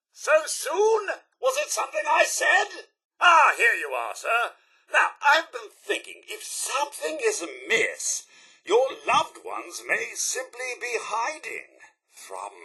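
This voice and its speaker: Prim british robot butler from a game, super bright and sharp, bit raspy, fussy and eager to please. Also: male voice, high voice, exaggerated voice. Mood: anxious, eager. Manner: prim, florid, theatrical butler politeness. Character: fussy, devoted robot butler, prim and chipper, anxiously cheerful. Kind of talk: video game character voice acting.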